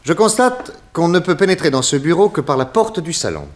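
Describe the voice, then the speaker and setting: An actor playing a confident private detective in a 1960s French radio crime serial, speaking in a courteous, methodical and theatrical way. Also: male voice, on the high side, expressive, fairly quick, natural voice. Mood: formal, commanding. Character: confident male private detective exposing a murderer.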